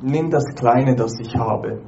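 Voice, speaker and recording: A man's voice: medium pitch, takes it slowly, dark tone, muffled recording, slight background noise. A man interpreting a sermon live, following closely behind the preacher's phrases.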